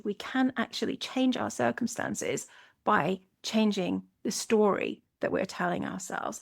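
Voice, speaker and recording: A woman's voice: medium pitch, gravelly. A Scottish woman hosting a solo podcast, speaking in an earnest, reflective way with frequent restarts. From Scotland. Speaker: podcast host.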